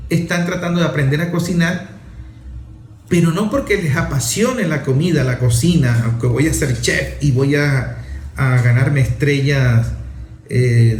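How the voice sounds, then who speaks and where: A man's voice: a little high, a little mellow, roomy sound. A man giving an emphatic opinion monologue, listing his points and asking rhetorical questions.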